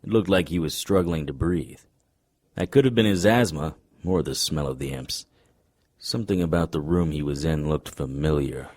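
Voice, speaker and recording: An actor playing a wry, unflappable occult detective, narrating an audio drama in a dry noir style. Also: male voice, extremely low pitch, normal speed, a little mellow. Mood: wry, tense.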